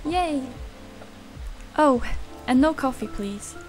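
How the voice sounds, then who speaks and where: A woman's voice: high-pitched, expressive, takes it slowly, darkish tone, faint music behind. A young woman playing a sassy, teasing catgirl customer in a fan-dubbed visual novel. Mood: cheerful. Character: playful, sassy young catgirl regular at a coffee shop.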